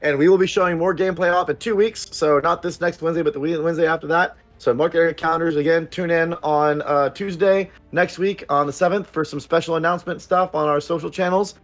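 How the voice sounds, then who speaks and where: A man with a slightly higher voice hosts a casual livestream and chats loosely with viewers. His talk rambles and is packed with ums and uhs, but it stays upbeat. Faint music plays underneath. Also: steady tone, fast, hardly any pauses. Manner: rambling, filler-heavy, upbeat. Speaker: producer and stream host.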